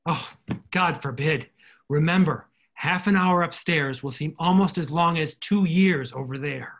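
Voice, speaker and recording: An actor playing an eager, modest army captain home from war in an earnest, exclamatory stage comedy style. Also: male voice, a little high, measured pace, a bit bright. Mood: puzzled, eager. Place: indoors at home, video call. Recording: noisy home video-call audio.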